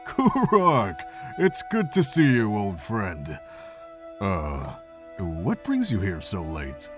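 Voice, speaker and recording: Man acting a deep-voiced, weary monk. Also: wildly swooping pitch, takes it slowly, over music, full character voice. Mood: surprised, friendly. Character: weary, kindly older monk recounting the past. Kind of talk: fan visual novel voice acting.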